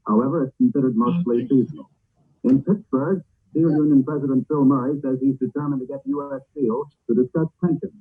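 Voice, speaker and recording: A man's voice: mid-range voice, a little fast, breathy edge. Muffled 1940s radio newsman. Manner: formal, declarative. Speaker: radio newscaster. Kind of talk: 1940s radio news reading.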